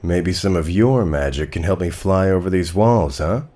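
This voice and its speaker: A man's voice: sits low, moderate pace, dark tone, slight background noise, lightly acted. A man playing a streetwise, ingratiating American convict in a comic dub, talking in a colloquial, wheedling manner. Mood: ingratiating, sly. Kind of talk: dramatic comic-dub acting.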